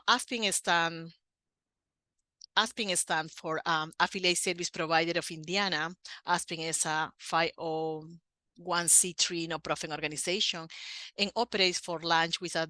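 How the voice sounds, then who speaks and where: Peruvian man presenting in bright, forceful tones. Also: on the high side, no echo. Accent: Peruvian Spanish. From Peru. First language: Spanish. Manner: earnest, slightly halting non-native phrasing. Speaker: guest presenter, program coordinator. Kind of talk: webinar presentation.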